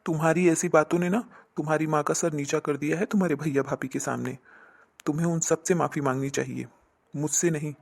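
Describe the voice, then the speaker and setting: A man playing a stern, reproachful husband who lectures emphatically in an animated family moral drama. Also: on the high side, a little fast, creaky. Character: stern, reproachful husband defending his sister.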